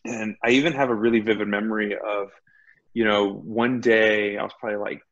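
A man in his thirties from Texas, a guest on a video call from home, telling his story in a relaxed, self-deprecating way. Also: fairly low pitch, a little fast, highs cut off. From Laredo, Texas. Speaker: guest, addiction counselor. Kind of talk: personal recovery story. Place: home room.

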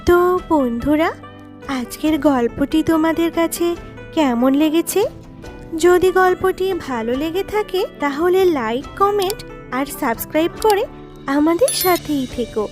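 A woman narrates a scripted story for children. Her voice is high, and faint music plays underneath. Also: lively intonation, a little slow.

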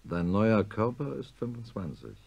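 An actor playing a troubled middle-aged surgeon who narrates and speaks in a radio drama, grave and confiding. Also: male voice, lowish voice, big pitch swings, unhurried, vocal fry, a little mellow, slightly rough, muffled recording, heavily acted. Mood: evasive.

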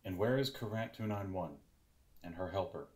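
Man playing secretive organization boss, deep voice, cold, suspicious, formal, disdainful, audio drama. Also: moderate pace, darkish tone, lightly acted.

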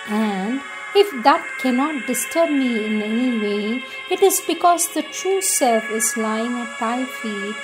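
Music plays clearly behind a woman reading devotional prayers. Her voice sits a little high, and she goes slowly, with a solemn and reverent delivery that gives each phrase a measured shape. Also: rough recording. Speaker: reader.

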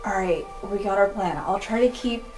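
A woman's voice: medium pitch, normal speed, far from the mic, soft background music. Woman playing a tabletop game. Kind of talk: casual in-character planning.

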